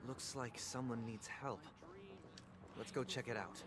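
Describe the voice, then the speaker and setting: An actor playing a solemn, refined and pious young leader in a video game, speaking formally and gravely. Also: male voice, mid-range voice, steady tone, a little slow, heavy background noise, close to an everyday voice. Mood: composed, weary.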